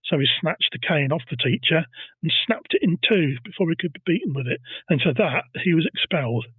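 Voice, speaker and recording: A man's voice: a little high, animated, fairly quick, breathy edge, dry recording, narrow, tinny audio. A man hosting a casual history podcast, telling a story and teasing his co-host.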